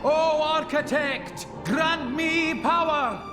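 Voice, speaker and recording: High, declaiming stage hero, echoing hall. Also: male voice, slow. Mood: fervent pleading. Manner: solemn, declamatory, archaic. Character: noble heroic male legend, pleading grandly, in a stage play. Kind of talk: theatrical stage declamation in a game cutscene. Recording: echoey theatre hall, music behind.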